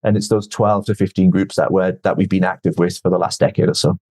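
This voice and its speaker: Measured man explaining investing. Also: sits low, flat delivery, a little mellow. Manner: measured, explanatory, repeats words when starting sentences. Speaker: venture investor guest. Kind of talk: podcast interview answers.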